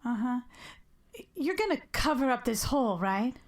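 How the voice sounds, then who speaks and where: A woman's voice: on the high side, expressive, normal speed, natural voice. An actress playing a wounded, guarded woman with a terse, dry, sarcastic manner in a naturalistic audio drama. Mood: guarded, uneasy. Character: guarded, wounded, sardonic woman rebuilding her life. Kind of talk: naturalistic radio drama acting.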